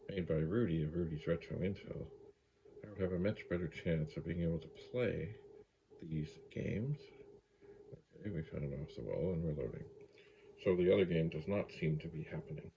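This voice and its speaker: A man with a deep, gravelly voice talks through a hands-on demonstration. He rambles casually, as if thinking out loud to himself. A light hum and background noise sit behind his voice. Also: poor audio. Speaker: host of a vintage computer video series. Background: gentle tape machine hum, clicking.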